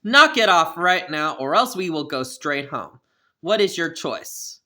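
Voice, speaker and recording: A woman voicing a stern, angry father who scolds his son with loud, repeated threats. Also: deep voice, sing-song, unhurried, heavily acted. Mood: scolding.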